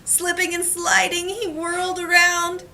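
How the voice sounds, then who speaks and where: A woman teacher giving an animated, expressive children's read-aloud, pausing to explain things, recorded from a distance in a classroom. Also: very high voice. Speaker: elementary school teacher reading aloud. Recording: noisy classroom, distant mic.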